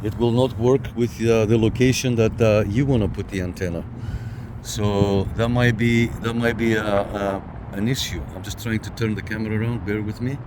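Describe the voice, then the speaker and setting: Man, lowish voice, informal video host, hands-on review, chatty direct address, clear background noise from outdoors and car. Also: steady tone, moderate pace. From Canada. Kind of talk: casual hands-on product review. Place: outdoors in a parking lot and inside a parked car. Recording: noisy outdoor recording with car sounds.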